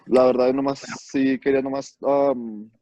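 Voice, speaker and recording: A man's voice: medium pitch, level tone, normal speed, dark tone, no echo, muffled recording. A man giving a brief, casual self-introduction as a class participant.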